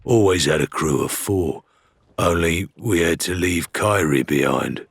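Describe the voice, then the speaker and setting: A man voicing a stolid, impassive pirate crewman, terse and deadpan in a low rumble, in an audiobook. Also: a little slow, creaky, slightly rough, dry recording, studio quality, exaggerated voice. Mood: deadpan, thoughtful. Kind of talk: audiobook character acting.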